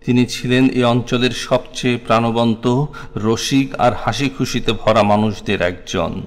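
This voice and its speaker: A man's voice: mid-range voice, fairly even intonation, a little slow. A man with a Bangladeshi accent reciting a scripted memoir in a solemn, dramatic, literary way. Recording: long hall-like reverb.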